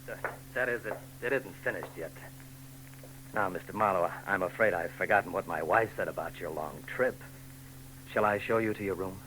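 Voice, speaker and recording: An actor playing a touchy, artistic husband with an affected, defensive manner in a 1940s radio drama. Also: male voice, low-pitched, normal speed, pushed and bright. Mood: flustered, touchy. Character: touchy, artistic husband, amateur photographer, male. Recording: noisy, humming 1940s radio recording.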